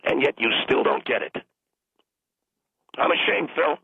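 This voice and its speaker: A man calling in with a hoarse, strained throat, indignantly scolding the host in a comic rant. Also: measured pace, no room sound. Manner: indignant, scolding, mock outrage. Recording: tinny phone line.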